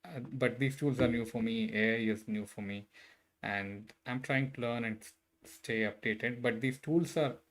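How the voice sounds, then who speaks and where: A man's voice: medium pitch, moderate pace, a little hiss or hum. A man, chatting casually with viewers on a live coding stream, hesitant and friendly, with many fillers and restarts. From Bangalore, India. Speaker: livestreaming software engineer. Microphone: desk mic set a bit far.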